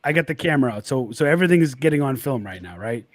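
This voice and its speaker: Man, Boston accent, guest in casual chat, lively and animated pitch, dry close sound, stammers and repeats words. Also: rapid-fire, no room sound.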